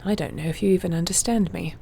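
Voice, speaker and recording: A woman acts out a gentle fantasy warrior woman with a lowish voice full of heavy creak. She is soothing and wry, speaking intimately as if to one listener in an audio roleplay. There is faint noise behind her. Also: fast talker. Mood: relieved, wondering.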